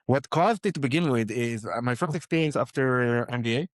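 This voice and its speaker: A man from Israel, a founder guest in an interview, telling animated anecdotes with fillers and repeated words. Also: medium pitch, fairly quick, no echo, studio quality. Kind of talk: conversational storytelling.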